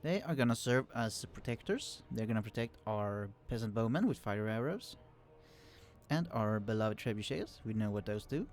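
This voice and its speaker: A man casually commenting on a strategy game he is playing, explaining units with many fillers and restarts, his voice kept quiet and turned down in the recording. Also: lowish voice, expressive, normal speed. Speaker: let's play host. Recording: quiet voice, turned down to hide computer hum.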